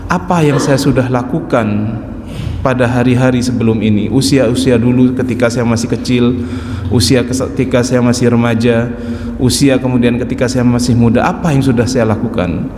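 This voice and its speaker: A man in his forties delivers a patient religious lesson, his pitch sitting in an ordinary middle range. The sound rings with long reverb through a big hall, and a faint room hum stays underneath. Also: moderate pace. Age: 40s. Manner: calm explaining, frequent affirming "ya". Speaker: religious teacher. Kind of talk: mosque study-circle lecture. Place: large mosque hall. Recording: echoey mosque hall with faint ambience.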